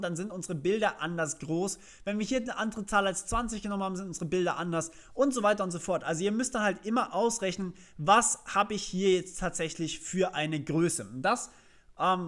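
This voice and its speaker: A young man with a notably high-pitched voice hosts a programming tutorial. He walks through each step in a casual, conversational way, posing questions to the viewer and answering them himself. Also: fairly bright tone. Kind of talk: informal screencast tutorial, explaining code step by step.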